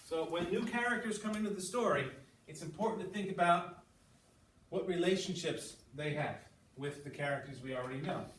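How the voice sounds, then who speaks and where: A man's voice: high-pitched, normal speed, fairly bright tone. Male teacher in an echoey room, distant mic. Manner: explanatory, often checks in with short questions. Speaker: grade six English teacher. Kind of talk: classroom read-aloud with running commentary and questions to pupils. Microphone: at some distance from the speaker. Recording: roomy, distant mic with background noise.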